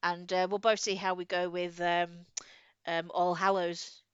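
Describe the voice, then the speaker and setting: A woman's voice: on the low side, little pitch movement, measured pace, fairly bright tone, clear, resonant tone, no room sound, dull audio. A woman co-hosting a podcast, chatting about books in an effusive, informal way. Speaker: podcast co-host. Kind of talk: casual book chat.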